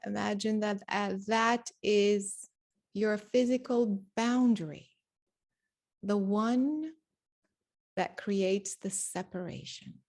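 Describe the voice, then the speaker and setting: A woman leading a guided meditation, giving spoken instructions in deliberate short phrases with long pauses between them. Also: mid-range voice, darkish tone.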